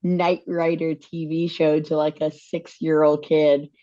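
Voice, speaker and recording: A woman with a low, mellow voice co-hosts a relaxed chat and rambles into side stories. Her sound is a little dull, as over a video call. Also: a little slow. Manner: chatty, rambling asides. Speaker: co-host. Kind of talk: casual interview hosting.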